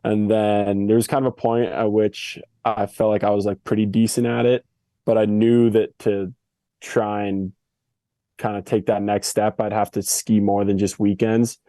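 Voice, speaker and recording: A young man who grew up in Switzerland, chatting casually as an interview guest in a relaxed, slangy way full of fillers. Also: fairly low pitch, normal speed, dry recording. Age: young adult. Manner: laid-back, filler-heavy, slangy. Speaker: guest, Olympic freestyle skier. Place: family home in Switzerland.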